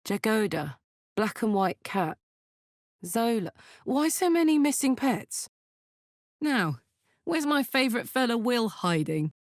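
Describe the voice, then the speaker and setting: A woman's voice: fairly high pitch, very animated, slow, long pauses, no echo. Brash, big-hearted warrior woman, dry close sound. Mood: wry, musing. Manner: informal, blunt, exclamatory, swings from playful to tender. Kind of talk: video game character voice lines.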